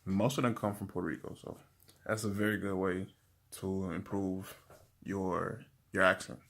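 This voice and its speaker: A young man giving casual language-learning tips to camera, talking in a relaxed, rambling way with many fillers and restarts. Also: low-pitched, moderate pace, vocal fry. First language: English.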